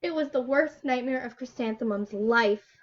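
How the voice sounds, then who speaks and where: High-voiced woman storyteller, dull lo-fi audio. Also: moderate pace. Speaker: children's story time reader. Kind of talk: animated storybook narration for children.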